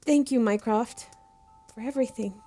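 A woman's voice: fairly high pitch, normal speed, warm tone, faint music behind, natural voice. An actress playing a hesitant, anxious young female student in an audio drama, tentative and deferential. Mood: tentatively agreeable. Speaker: actor in a fiction podcast.

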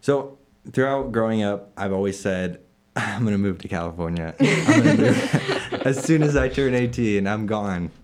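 Very deep-voiced young man, halting. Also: sing-song. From Illinois, USA. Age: 20s. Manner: halting, many fillers and restarts, laughs often. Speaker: interviewed staff member. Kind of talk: casual interview.

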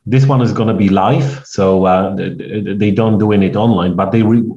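Polish-accented man, dark mellow voice, halting rambling talk, echoey dull lo-fi recording. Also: fairly low pitch, fairly even intonation. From Poland. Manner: rambling, halting storytelling with frequent restarts. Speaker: guest, networking coach. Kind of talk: conversational podcast interview.

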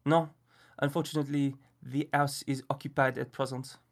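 A man's voice: a little high, fairly quick, a bit bright, slightly rough. A man voicing a fussy, aloof male detective who puts on a mock French accent and speaks in precise, formal, haughty phrases. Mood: haughty, dismissive.

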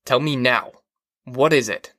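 A man's voice: medium pitch, a little slow, sharp tone, dry recording. A troubled husband voiced by a male audiobook narrator presses for answers. He is exasperated and urgent in a plain mid-range male voice, recorded very dry. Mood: troubled, insistent. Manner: urgent, probing questions.

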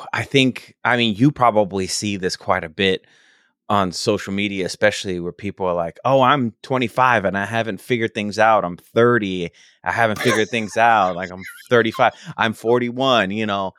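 Low-voiced, curious male interviewer. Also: moderate pace, a bit bright, smooth, no room sound, clean studio sound. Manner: curious, prompting questions. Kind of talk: casual interview conversation.

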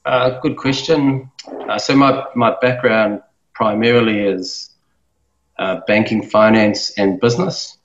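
A man in his thirties from New Zealand speaks as an interview guest, his voice sitting low. He thinks aloud, hesitating and restarting often. The sound is roomy and a little dull. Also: steady tone, unhurried. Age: 30s. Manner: thoughtful, with many fillers and restarts. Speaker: guest, business owner. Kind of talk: casual interview talk.

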